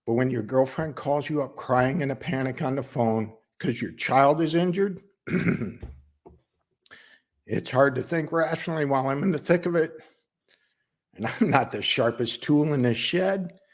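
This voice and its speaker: A man playing an earnest, desperate working father in a halting, emotional dramatic monologue. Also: fairly high pitch, voice pushed up. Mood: remorseful.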